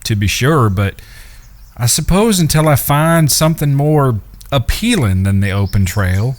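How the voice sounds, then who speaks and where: A man playing a wry, thoughtful older brother and cowboy outlaw, speaking in a measured, folksy way in a western audio drama. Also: medium pitch, close to an everyday voice.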